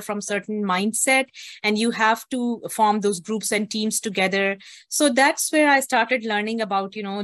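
A woman's voice: medium pitch, a bit bright, no echo. Woman telling her story. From Pakistan. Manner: earnest, with many fillers. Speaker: guest. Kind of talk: interview, personal story.

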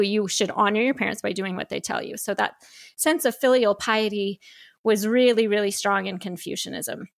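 A woman's voice: fairly high pitch, creaky, no room sound, studio quality. A woman hosting a podcast, methodically laying out ideas point by point in an explanatory tone. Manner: methodical, lays out points in numbered lists. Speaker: podcast host.

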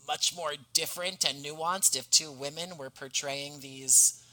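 A man's voice: mid-range voice, bright, forceful tone, low-quality recording. A man speaking as a podcast guest in a conversational interview, earnest and effusive in manner. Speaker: podcast guest, audio drama writer and actor.

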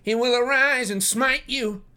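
A man's voice: mid-range voice, fairly even intonation, unhurried, a bit bright, smooth, lightly acted. A man voicing a coarse, smug young zealot in a storybook, with a nasal voice and a sanctimonious manner. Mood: threatening. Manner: sanctimonious, self-satisfied.

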